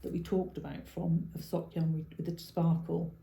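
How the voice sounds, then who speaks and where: A woman's voice: fairly low pitch, little pitch movement, normal speed, creaky, mellow. A woman co-hosting a podcast in casual chat, talking in bursts with frequent giggles and restarts. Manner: chatty, giggly, trails off and restarts. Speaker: podcast co-host. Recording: roomy echo.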